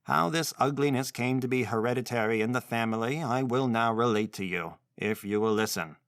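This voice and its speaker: A man voicing an odd old godfather in a storybook reading, chanting slowly in a grating, monotonous tone. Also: medium pitch, no echo, studio quality, close to an everyday voice. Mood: mysterious.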